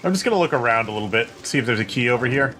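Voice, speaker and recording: A man streaming a video game, rambling, swearing and joking at himself while his voice turns hoarse and tired. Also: mid-range voice, animated, very fast. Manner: rambling, swearing, self-mocking. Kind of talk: casual gameplay commentary. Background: game music.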